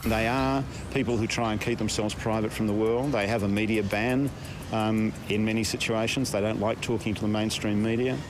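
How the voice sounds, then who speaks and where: A man's voice: lowish voice, steady tone, moderate pace. A man in his fifties, a sociologist, giving thoughtful, hesitant interview answers with frequent ums. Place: outdoors on a city street with trees. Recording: outdoor street noise.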